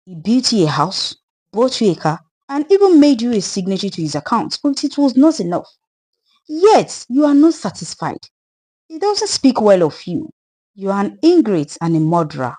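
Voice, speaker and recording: A woman's voice: mid-range voice, very animated, moderate pace, dark tone, breathy edge, pitched above the performer's own voice. A woman voicing the sorrowful, wronged ghost of a murdered widow in a dramatised folktale, plaintive and earnest, with a Nigerian accent. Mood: reproachful.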